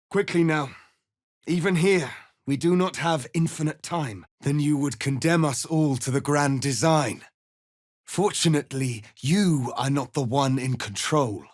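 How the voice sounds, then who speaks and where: A man playing a proud, stern alien warrior prince in a fantasy video game, speaking formally and gravely with commanding, measured delivery. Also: medium pitch, no echo, studio quality. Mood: urgent, grave. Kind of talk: dramatic fantasy game dialogue.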